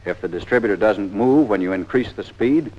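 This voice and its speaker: A man's voice: on the low side, animated, a little fast. An American man narrating a scripted 1940s training film in a plain-spoken, methodical, folksy instructor's manner, on an old film soundtrack. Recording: dull, band-limited 1940s film soundtrack.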